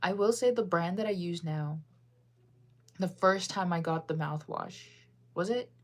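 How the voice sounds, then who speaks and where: A woman's voice: lowish voice, unhurried. A young woman from the United States chatting casually on a livestream, rambling with lots of fillers and self-corrections as she answers chat.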